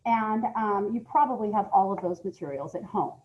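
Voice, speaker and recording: A woman's voice: medium pitch, normal speed. A woman reading a lesson aloud as a schoolteacher, in an instructional, emphatic way, often putting questions to her young listeners. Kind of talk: read-aloud science lesson with asides to children. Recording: dull, lo-fi audio.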